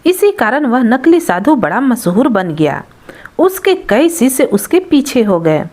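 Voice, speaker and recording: A woman's voice: high-pitched, sing-song, unhurried, darkish tone. A woman narrating a scripted folktale as a storyteller.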